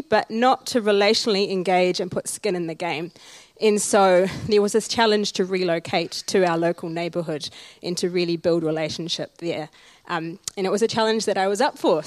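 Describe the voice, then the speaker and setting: A woman's voice: medium pitch, dry recording. A woman, from Sri Lanka and raised in Auckland, speaking earnestly and reflectively as an interview guest in a church service. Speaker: interview guest, community development worker.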